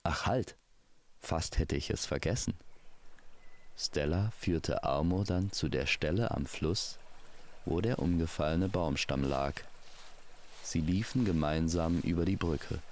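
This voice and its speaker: A man's voice: rumbling low, unhurried, a little mellow. A man narrating a scripted fairy tale, speaking intimately to the listener as to a friend. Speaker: storyteller.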